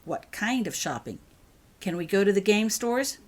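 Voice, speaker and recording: A woman's voice: medium pitch, expressive, moderate pace, darkish tone, voice pushed up, close to an everyday voice. A woman voicing a sulky, wary young boy in a storybook reading, reluctant and grudging.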